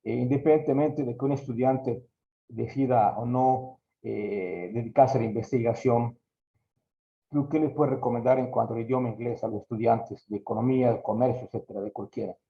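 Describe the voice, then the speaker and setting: A man's voice: mid-range voice, moderate pace, gravelly. A man, a professor asking a guest lecturer long, formal questions. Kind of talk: conference Q&A. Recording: echoey muffled room sound.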